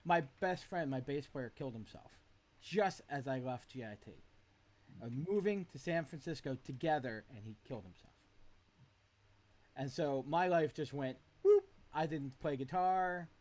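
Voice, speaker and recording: Man, slightly high voice, casual video-call chat, reflective, rambling. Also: animated, normal speed, darkish tone. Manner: reflective, rambling with many restarts. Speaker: guitarist on a group video call.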